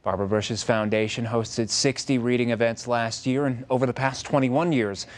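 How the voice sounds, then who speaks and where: A man's voice: medium pitch, fairly even intonation, moderate pace. An American man reading scripted news as a TV anchor.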